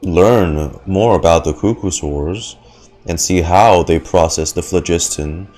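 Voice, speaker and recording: A man's voice: deep voice, slow pace, darkish tone. A man giving casual, blunt, informal reaction commentary as a game streamer.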